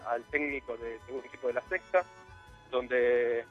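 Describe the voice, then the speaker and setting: A man's voice: medium pitch, moderate pace, lo-fi sound, faint music behind. A young man from Argentina with an Argentine Spanish accent, telling his story as a guest in a casual interview, rambling with many fillers. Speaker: guest footballer.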